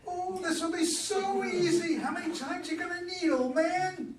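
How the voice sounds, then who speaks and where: Man putting on a high-pitched cocky teenage boy. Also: fairly even intonation, unhurried. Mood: mocking. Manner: jeering, taunting. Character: cocky mocking teenage boy, bully of the ballfield. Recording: echoey room, far from mic, hissy.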